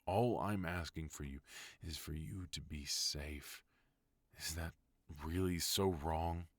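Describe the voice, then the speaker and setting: Casting: a man as a stern but tender mafia boss husband, very deep voice, dry close mic. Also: slow pace, no room sound, exaggerated voice. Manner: low, firm, soft-spoken pleading. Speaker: voice actor. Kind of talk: ASMR roleplay.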